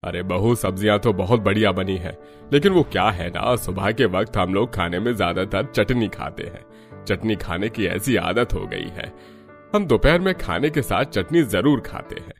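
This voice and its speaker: A man's voice: lowish voice, lively intonation, normal speed, soft background music. A man voicing a mild, hesitant older father-in-law in a cartoon family story. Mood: mildly dissatisfied. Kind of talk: Hindi cartoon story voice acting.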